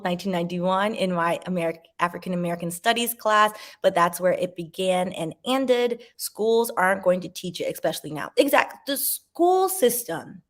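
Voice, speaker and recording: Animated woman chatting to viewers. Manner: animated, jumps in pitch for emphasis; talks to viewers directly, sips wine. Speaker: vlogger reading viewer comments. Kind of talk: casual commentary chat.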